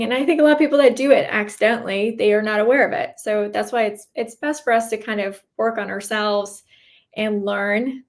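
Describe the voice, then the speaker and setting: A woman guest on a podcast, explaining her views in an earnest, conversational way and often checking in with the listener. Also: mid-range voice. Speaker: podcast guest, cybersecurity professional. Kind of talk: casual conversational interview answers.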